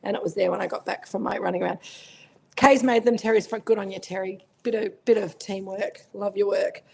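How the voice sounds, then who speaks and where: A middle-aged Australian woman speaks into her laptop mic at home, hosting a live online tasting. Her voice sits at a mid pitch with a gravelly, croaky edge. She is chatty and friendly, calling out viewers by name between remarks. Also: steady tone, fairly quick. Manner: chatty, greets viewers by name, warm asides. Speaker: winemaker hosting a virtual tasting. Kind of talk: casual livestream chat.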